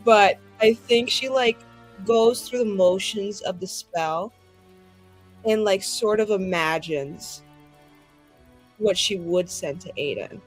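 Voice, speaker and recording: A woman's voice: medium pitch, takes it slowly, long pauses, poor audio. A woman playing a tabletop roleplaying game, improvising and narrating in a slangy, sweary, rambling way. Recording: music under the voice. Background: music.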